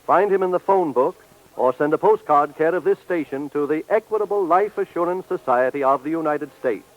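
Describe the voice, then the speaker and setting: High-pitched formal male radio announcer. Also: fairly quick. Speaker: radio announcer and sponsor spokesman. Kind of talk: scripted 1940s radio announcing. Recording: dull old radio recording.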